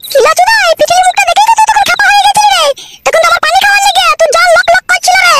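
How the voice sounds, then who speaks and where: A woman's voice: extremely high pitch, fairly even intonation, very fast, loud music behind the voice. A woman voicing a furious young wife in a cartoon, nagging and cursing at her husband. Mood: scolding. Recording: loud hissy noise behind.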